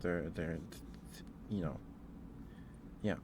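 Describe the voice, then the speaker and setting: Male ASMR streamer, low voice, halting and rambling casual chat, clear noise in the recording. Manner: hesitant, rambling, often restarting mid-thought; chatting back to live viewers. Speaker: livestream host.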